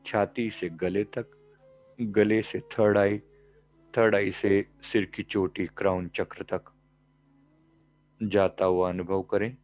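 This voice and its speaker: A man with a low-pitched voice guides a meditation. He gives calm, measured instructions in short phrases, leaving pauses between them. The pace is very slow, and the recording sounds slightly dull. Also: soft background music. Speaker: meditation teacher and life coach.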